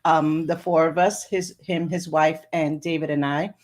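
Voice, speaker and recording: An American woman with Puerto Rican parents, with a voice much lower than most women's. She tells her own story as a guest instructor, soft-spoken and pausing often on ums. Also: steady tone. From United States, Puerto Rican parents. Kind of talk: casual personal story.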